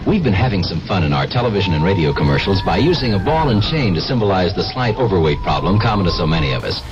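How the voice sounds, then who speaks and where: A man reading a 1970s radio commercial in a friendly, conversational pitch. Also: very animated, fast, highs cut off, over music. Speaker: commercial announcer.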